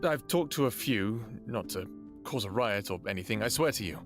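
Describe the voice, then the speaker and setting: A man's voice: lowish voice, lively intonation, normal speed, over music. A man acting a lovestruck, earnest human in an audio drama, speaking in a hesitant, tender way. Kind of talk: first-person audio drama acting and narration.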